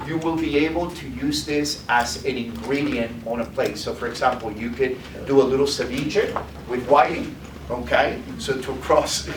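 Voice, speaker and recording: A man giving a live product demo as a sales presenter, often tagging his points with "okay?". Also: medium pitch. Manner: tags lines with "okay?". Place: food trade demo room. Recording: echoey room, mic far off, noisy.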